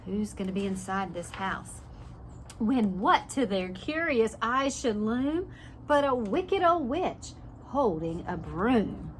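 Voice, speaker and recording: A woman's voice: on the high side, big pitch swings, takes it slowly, slight background noise. A woman preschool teacher reading a storybook aloud and adding simple explanations and questions for young children.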